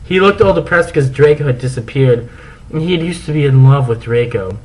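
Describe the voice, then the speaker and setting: A man's voice: a little high, animated, fairly quick, a little mellow, rough recording. A man narrating fan fiction as a comic dramatic reading, theatrical and mock-serious.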